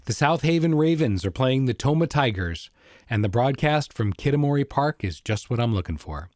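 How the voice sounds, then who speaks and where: A man's voice: medium pitch, darkish tone, no echo, studio quality. A man hosting a podcast, delivering a scripted introduction. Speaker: podcast host introducing an episode. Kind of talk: scripted narration.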